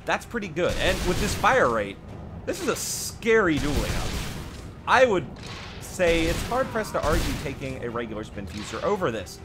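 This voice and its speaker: A man's voice: a little high, sing-song, moderate pace, over music. A man giving casual, conversational commentary on a game update as a host, offering his own opinions with some self-deprecation. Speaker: gaming channel host.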